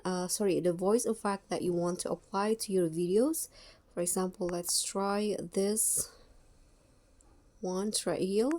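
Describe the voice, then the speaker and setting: Female tutorial voice-over: dark, relaxed tone, mid-range pitch, slightly halting instructional delivery. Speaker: tutorial presenter. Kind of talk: step-by-step screen tutorial voice-over.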